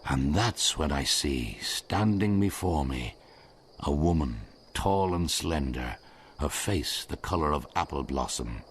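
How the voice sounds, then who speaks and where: A man's voice: rumbling low, animated, slow pace, slight background noise, exaggerated voice. A man narrating his own story in a radio drama, in a wry storytelling manner. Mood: reflective. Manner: wry, storytelling, first-person recollection. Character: man looking back on his own wild night.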